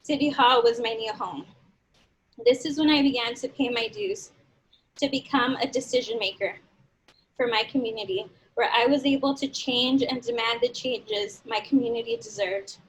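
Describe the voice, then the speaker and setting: Young woman with a high voice, earnest speaker rallying a crowd. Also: moderate pace, low-quality recording. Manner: earnest, motivational, leads audience in call-and-response. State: dry throat. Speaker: speaker at a community event. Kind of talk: personal speech to an audience.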